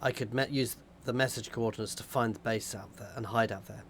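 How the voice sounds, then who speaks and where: A man with a slightly hoarse voice reading aloud the lines of a desperate male peasant rebel prisoner, in a hushed, pleading way. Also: mid-range voice, fairly even intonation, fairly quick, slight background noise, lightly acted.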